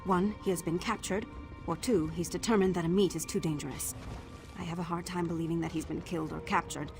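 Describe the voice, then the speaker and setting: An actress playing a wry, guarded undercover agent in a video game, with a dry, clipped delivery. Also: female voice, fairly low pitch, fairly even intonation, fairly quick, natural voice. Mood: awkward, worried. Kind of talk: video game character acting. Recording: prominent music behind the voice.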